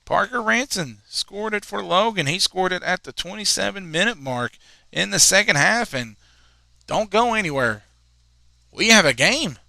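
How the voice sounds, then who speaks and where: A man in his twenties calling a high school soccer game, chatting in rambling asides between plays. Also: animated, moderate pace, gravelly, pushed and bright, dry recording. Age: 20s. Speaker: play-by-play commentator.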